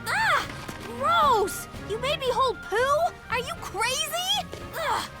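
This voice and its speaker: An actress playing a spirited, earnest young female soldier in a game, youthful and expressive. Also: extremely high pitch, slow pace, over music, exaggerated voice. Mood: disgusted.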